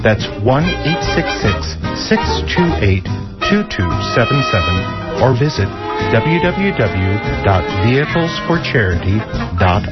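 A man reading an upbeat radio donation spot with a sales-pitch manner. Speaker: announcer. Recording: dull lo-fi radio with loud music.

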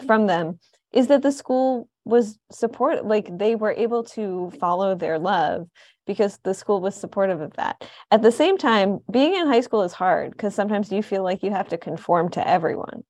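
A woman's voice: medium pitch, animated, moderate pace, a little mellow, no room sound. A woman with an American accent hosting a conversational interview, phrasing her thoughts in a reflective, tentative way. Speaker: host, arts reporter.

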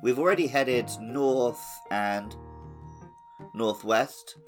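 A man's voice: medium pitch, slow pace, soft background music. A man co-hosting a podcast, chatting casually through a video game playthrough. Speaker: podcast co-host.

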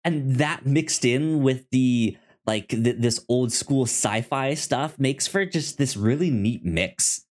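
A man with a medium pitch co-hosts a casual movie podcast. He speaks slowly and haltingly, repeating words as he works through his thoughts with a fan's enthusiasm. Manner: halting, repetitive, enthusiastic fan talk. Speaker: podcast co-host.

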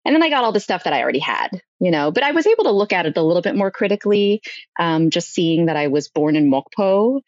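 Woman podcast guest, low register, conversational storyteller who acts out quotes, slightly muffled lo-fi audio. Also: big pitch swings, quick pace, darkish tone, dry recording. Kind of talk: personal storytelling in a conversational interview.

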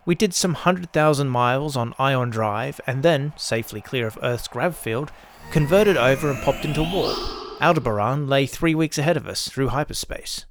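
A man's voice: mid-range voice, animated, moderate pace. A man hosting and narrating scripted science fiction audio stories in the first person. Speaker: host and narrator.

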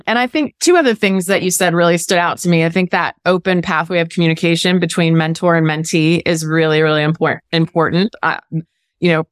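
A woman's voice: on the low side, no room sound, clean studio sound. A woman hosting a conversational podcast interview, affirming and reflective in how she responds. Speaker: podcast host.

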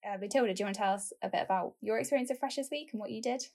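A woman's voice: mid-range voice, very fast, darkish tone. A young woman hosting a university podcast, chatting in a friendly, casual way. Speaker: host, student recruitment officer.

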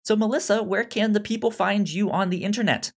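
A man co-hosting a casual review podcast, talking in a halting way with some stammering. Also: high voice. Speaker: podcast co-host.

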